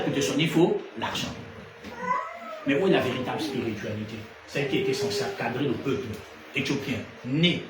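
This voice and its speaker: A man preaching a sermon in an animated way, rising to loud emphatic peaks and then dropping low. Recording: echoey room from far off, noisy ambience.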